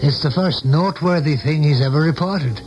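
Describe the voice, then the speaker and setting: A man's voice: a little high, normal speed, darkish tone, close to an everyday voice. An actor playing a worldly, cynical male political adviser in a radio drama, with a wry, knowing and sardonic way of talking. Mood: wry, warning. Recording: dull old radio recording with music.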